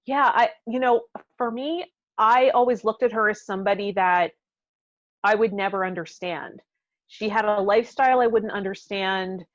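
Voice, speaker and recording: A woman's voice: medium pitch, normal speed, no echo. Dry, slightly muffled woman podcast host. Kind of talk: casual reflective conversation.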